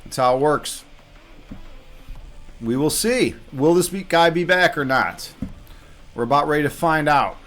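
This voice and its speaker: A man's voice: a little high, expressive, unhurried, pauses often, a bit bright, faint music behind. A man giving casual live commentary on his own game as a streamer, muttering half-finished thoughts to himself as he thinks aloud.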